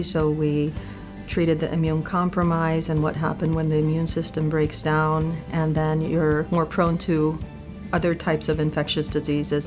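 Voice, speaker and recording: A middle-aged woman from Puerto Rico, a physician, talking about her career in a thoughtful, measured way with small pauses. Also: low-pitched. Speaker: infectious disease physician. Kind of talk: profile interview monologue. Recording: clear music bed under the voice.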